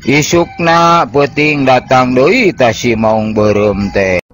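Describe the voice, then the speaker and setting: An older man narrating an old folktale as the channel's storyteller, unhurried and sing-song. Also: medium pitch, over music.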